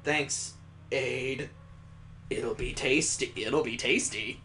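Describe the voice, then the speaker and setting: A man voicing an oblivious, innocent young teenage girl with a slow, blank delivery, as in a visual novel. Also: medium pitch, pushed and bright, faint music behind, voice pushed down.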